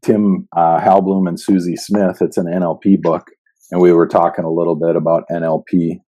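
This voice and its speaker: A man co-hosts a podcast in casual, conversational fashion. His voice sits low, with a soft, mellow tone. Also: little pitch movement, moderate pace, low-quality recording. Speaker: podcast co-host. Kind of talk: casual chat.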